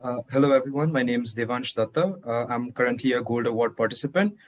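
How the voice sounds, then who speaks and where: A man's voice: medium pitch, quick pace. A teenage boy from Nepal on a video call panel, answering in a reflective, thoughtful way.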